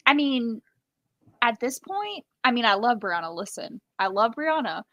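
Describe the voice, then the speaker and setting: A woman with a slightly high voice chats as co-host of a book readalong, recorded dry with no room sound. Her delivery is informal and wry, full of casual "likes". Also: big pitch swings, a little slow, sharp tone, no room sound, highs cut off. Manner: wry, conversational, uses lots of "like".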